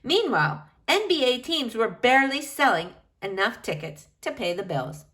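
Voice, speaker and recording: A woman reading a children's book aloud in an expressive, emphatic way, drawing out key words for young listeners. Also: fairly high pitch, moderate pace.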